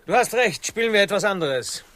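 A man acting a wily, excitable ship's admiral in a comic radio play, theatrical and placating. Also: high voice, fairly quick, no echo. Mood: conciliatory. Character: Admiral Christopher Columbus, male, wily, placating, excitable leader.

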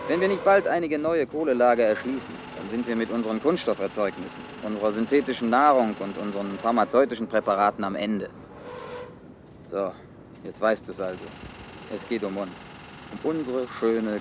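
An actor playing a cynical, hard-headed man in a German science-fiction radio play, blunt and deliberate as he explains. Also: fairly low pitch, noisy background, lightly acted. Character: cynical, hard-headed male official defending his orderly world.

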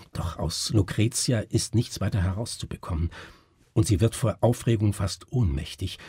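A man voicing a grave, educated doctor in a literary story, speaking in a measured, brooding, formal way. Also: on the low side, a little mellow, slightly rough, no echo, exaggerated voice. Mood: suspicious, intent. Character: grave educated male doctor recounting a friend's ruin.

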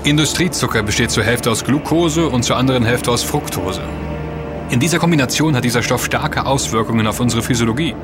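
A man's voice: medium pitch, brisk, music in the background, close to an everyday voice. An actor playing an earnest, knowledgeable man who explains and warns emphatically in a scripted audio drama. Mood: explaining. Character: earnest, knowledgeable man warning his friends.

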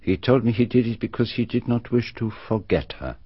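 An actor playing a calm, methodical detective who lays out a murder solution in a measured, deliberate way, in a radio drama. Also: male voice, medium pitch, husky, no echo. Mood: grave. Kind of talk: BBC radio drama acting. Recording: muffled dry archive radio recording.

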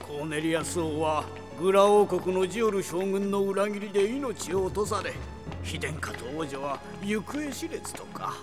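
A man's voice: high-pitched, lively intonation, normal speed, music in the background. A man playing an anxious, formal courtier in a fantasy audio drama. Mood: grave. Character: anxious, formal male courtier relaying grim news.

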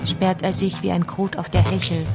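A woman voicing a cursing, fed-up toad in a radio feature, muttering slowly and gruffly over music. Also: on the low side, level tone, natural voice. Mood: grumbling.

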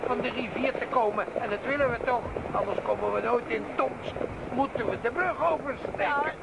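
A man's voice: very high-pitched, moderate pace, vocal fry. An actor playing a good-natured, trusting older peasant father in a radio drama. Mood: puzzled, insistent. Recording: very noisy outdoor radio scene.

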